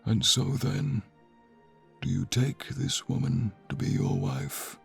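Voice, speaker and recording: A man playing a solemn archbishop in a fantasy audio drama, with a husky, creaky voice and measured, ceremonial phrasing. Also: mid-range voice, animated, faint music behind, exaggerated voice. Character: solemn old-fashioned archbishop officiating a royal wedding. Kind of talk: fantasy audio roleplay acting.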